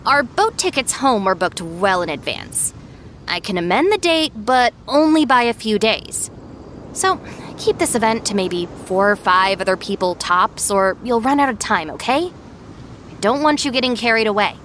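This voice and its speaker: Sensible young deputy, fairly high voice. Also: female voice, very animated, moderate pace, fairly bright tone, slight background noise. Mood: stern, warning. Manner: matter-of-fact, admonishing. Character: sensible, stern young female deputy, dry and exasperated. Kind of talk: animated video game voice acting.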